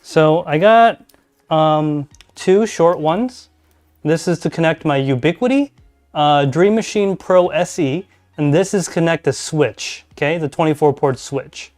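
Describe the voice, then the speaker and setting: A man hosting a casual live stream, talking through his network gear and reading chat aloud as he thinks out loud. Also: measured pace. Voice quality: deep voice. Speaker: podcast host live streaming. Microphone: desk mic, level adjusted mid-stream.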